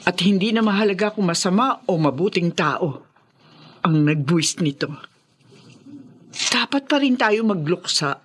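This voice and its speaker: A woman's voice: lowish voice, lively intonation, unhurried, long pauses, slightly rough. A woman acting as a caring, admonishing figure who urges peace in a Filipino radio drama. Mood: solemn, reproving.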